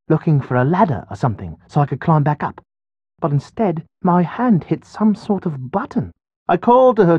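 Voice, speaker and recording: A rueful young man in a video game, a trapped groom who blames himself. His fairly high voice swings widely as he tells his tale, in a close, dry, slightly dull recording. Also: dry recording. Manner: expressive, rueful, self-deprecating. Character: trapped, self-reproaching bridegroom, chatty prankster. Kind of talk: video game voice acting, storytelling dialogue.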